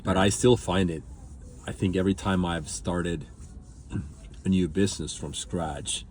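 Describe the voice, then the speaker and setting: A man's voice: deep voice, fairly even intonation, measured pace, darkish tone, a little hiss or hum. A man from Finland speaking reflectively as a guest in a conversational interview. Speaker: interview guest, entrepreneur and business coach.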